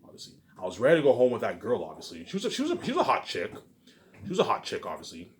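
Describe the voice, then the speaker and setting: A man's voice: medium pitch, huge pitch swings, very fast. A man giving a rambling, repetitive personal monologue, restarting sentences, leaning on verbal tags and starting emphatically before trailing off into mutters. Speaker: vlogger.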